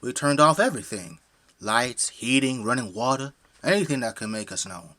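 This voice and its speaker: A man's voice: medium pitch, lively intonation, normal speed, pushed and bright. An actor playing a friendly, folksy middle-aged family man with an American Southern accent in an audio drama. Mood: storytelling, easygoing. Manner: effusive, folksy, hospitable.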